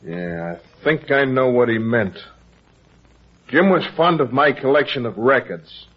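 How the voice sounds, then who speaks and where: A man's voice: medium pitch, animated, measured pace, breathy edge. Curt, menacing gunman, muffled vintage radio. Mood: threatening. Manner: curt, threatening. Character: menacing, suspicious male murderer holding a gun. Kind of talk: vintage radio crime drama acting.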